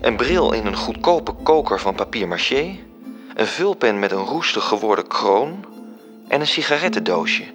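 Male radio-play narrator: low voice, formal, measured literary delivery, music underneath. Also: very animated, fairly bright tone. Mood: measured, serious. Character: male storyteller reporting human affairs to his superior.

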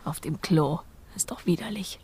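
An actress playing a troubled, introspective woman in a radio drama, speaking hushed inner thoughts in fragments. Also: on the low side, moderate pace, very noisy, natural voice. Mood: doubtful, bitter.

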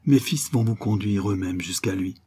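A man's voice: fairly low pitch, normal speed, mellow, breathy edge. A man voicing a noble, compassionate older mother in an audiobook, solemn and full of feeling. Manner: solemn, trembling with emotion.

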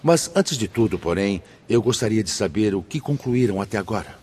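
A man acting a benevolent, authoritative spirit leader in a Brazilian radio drama, husky, formal and measured. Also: lowish voice, animated, darkish tone. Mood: earnest, encouraging. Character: benevolent, authoritative male spirit leader addressing his brothers.

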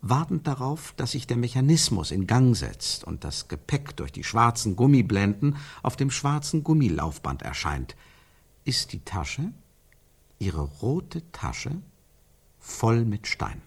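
Radio play: a probing, insistent man, mid-pitched and husky, circling his point with emphatic repeats. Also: big pitch swings, full character voice. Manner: emphatic, repetitive, probing, pausing for effect. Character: insistent, searching man theorising about an absent woman. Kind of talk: radio play dialogue, rhetorical repetition.

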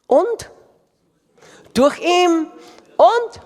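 A man's voice: very high voice, expressive, drawn-out words, darkish tone. A man preaching a sermon with impassioned delivery and emphatic repetition. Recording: long reverb of a large hall.

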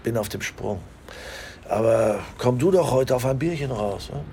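An actor playing a genial, fatherly older police colleague in a radio play, familiar in manner, with a creaky voice. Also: medium pitch, animated, moderate pace, mellow, a little hiss or hum, lightly acted. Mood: familiar, probing.

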